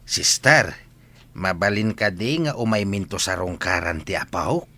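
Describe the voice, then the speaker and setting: A man's voice: sits low, a little slow, bright, forceful tone, full character voice. An actor playing an elderly, worried, deferential grandfather in a Filipino-accented radio drama, speaking plaintively. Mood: polite, worried.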